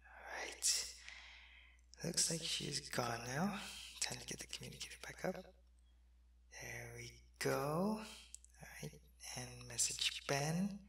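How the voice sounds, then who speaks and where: Gravelly sick alien guy, a man doing it, soft whispery asmr roleplay, bright edge, dry close mic. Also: medium pitch, lively intonation, slow pace, pauses often, no echo, heavily acted. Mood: weary, gentle. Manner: soft-spoken, drifting into whispers. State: sick and weak. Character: sick male alien soldier, weak but dutiful.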